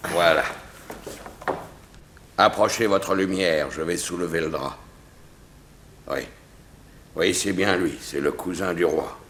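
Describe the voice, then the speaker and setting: A man's voice: low-pitched, slow pace, long pauses, fairly bright tone. An actor playing a grave, cautious man in a 1960s French radio serial, speaking tersely and solemnly. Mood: grave, tense. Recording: noisy old radio recording with clicks.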